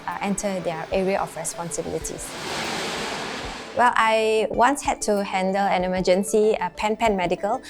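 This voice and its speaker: A young woman with a Singaporean accent explains her work as an air traffic controller in an interview, in measured phrases with frequent pauses. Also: medium pitch, darkish tone.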